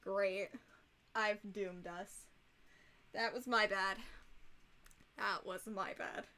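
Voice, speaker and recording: A young woman livestreaming with a rough, hoarse voice, chatting casually and bluntly, swearing freely. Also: mid-range voice, fairly even intonation, very slow, pushed and bright.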